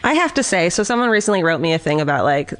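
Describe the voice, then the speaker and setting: A woman's voice: fairly low pitch, big pitch swings, fast, fairly bright tone. A woman co-hosting a podcast, chatting casually and reading a listener letter aloud, giggling often and swearing freely. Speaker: podcast co-host.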